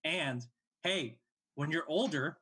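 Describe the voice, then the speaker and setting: Bright-voiced young man talking sports. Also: fairly high pitch, takes it slowly, slightly rough. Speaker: sports show host. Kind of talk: casual sports analysis.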